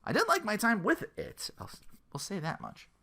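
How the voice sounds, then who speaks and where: A man's voice: high voice, huge pitch swings, moderate pace, vocal fry, pushed and bright. A man hosting a gaming podcast in a casual, rambling, slangy monologue, often acting out imagined quotes. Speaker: podcast host.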